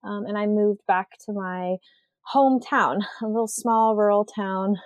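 A woman's voice: medium pitch, steady tone, measured pace, no echo. A woman from Western Washington, a writer and artist, talking casually as an interview guest, with lots of ums and rambling asides. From Western Washington, USA.

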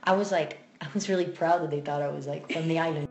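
A woman in her thirties hosting a casual chat, telling stories in a lively, chatty way. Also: low-pitched, fast, echoey room. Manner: lively, chatty storytelling with laughs.

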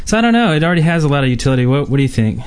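A man's voice: fairly high pitch, very animated, racing, smooth. Casual, rambling male podcast host. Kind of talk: casual gaming podcast chat.

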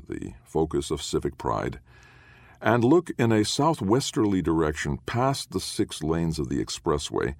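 A man narrates literary fiction in an extremely deep voice. His delivery is measured and solemn, each phrase set down deliberately. The recording is dry, with no room around the voice. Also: vocal fry, darkish tone, dry recording. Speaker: audiobook narrator.

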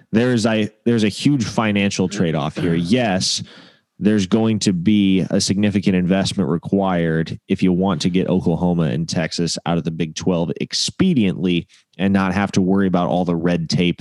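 A man's voice: low voice, normal speed, darkish tone, dry recording. A man co-hosting a sports podcast, talking in an emphatic, opinionated way. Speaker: podcast co-host. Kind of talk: casual sports chat.

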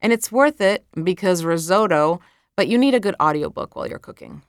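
A woman's voice: on the low side, expressive, a little fast, clean tone, no echo, clean studio sound. A woman from Croatia with a Croatian accent, an author chatting casually and laughing at her own slips while talking about recording her audiobook.

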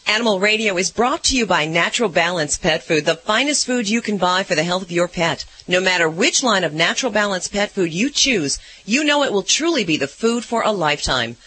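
A man's voice: high-pitched, expressive, brisk, few pauses, noisy background. A man reading a scripted sponsor announcement for a radio show. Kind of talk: scripted ad read.

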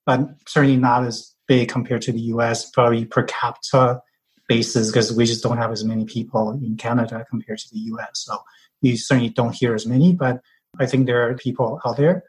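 A man from Taiwan, a finance blogger, explaining his views in a measured way in a casual panel discussion. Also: medium pitch, little pitch movement, poor audio. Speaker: personal finance blogger and podcast guest.

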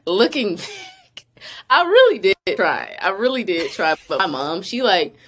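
A woman's voice: mid-range voice, wildly swooping pitch, measured pace, fairly bright tone, slight background noise. A young woman, an actress, chatting casually in an interview, laughing often and filling her talk with "like". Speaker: actress guest.

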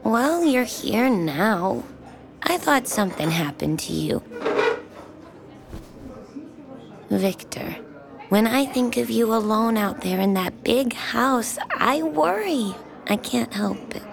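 An actress playing a forthright small-town diner waitress in an audio drama, wry, folksy and warm-hearted. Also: female voice, on the high side, lively intonation, takes it slowly, gravelly, full character voice. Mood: concerned. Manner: teasing, folksy, direct. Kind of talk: naturalistic audio drama dialogue.

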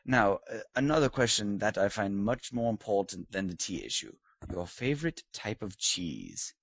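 A man's voice: lowish voice, sing-song, moderate pace, creaky, a bit bright, breathy edge, no echo, heavily acted. A man playing a pompous British lord who hosts a comedic podcast interview, in a put-on British accent with a mock-posh, teasing manner. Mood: haughty, mock-posh. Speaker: host.

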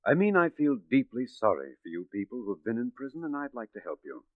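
A suave, patronizing gentleman crook played by a male actor in an old radio play. His genteel voice swoops expressively at middle pitch, heard through a thin, tinny 1940s recording. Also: very animated, fast talker, no echo, natural voice. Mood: condescending, probing. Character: smooth, condescending gentleman swindler posing as philanthropist. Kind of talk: 1940s radio drama acting.

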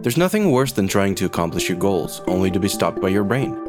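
A man's voice: deep voice, big pitch swings, a little fast, music in the background. A man reading a scripted podcast sponsor spot in a conversational, explanatory manner. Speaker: sponsor ad reader.

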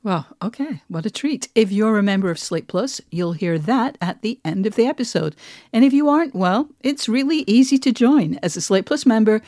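A woman's voice: on the high side, expressive, moderate pace, darkish tone, no echo, studio quality. A woman hosting a podcast in a conversational presenting style. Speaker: podcast host.

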